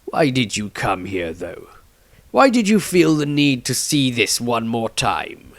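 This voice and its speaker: Man acting a stately, measured wizard patriarch. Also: mid-range voice, sing-song, dry recording. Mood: questioning. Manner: formal, deliberate, authoritative.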